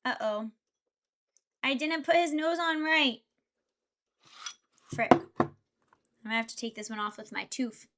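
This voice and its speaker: A woman's voice: high-pitched, measured pace, pauses often, fairly bright tone, slight background noise. A young woman streamer chatting casually while building Lego, thinking aloud and answering her chat. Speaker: VTuber streamer.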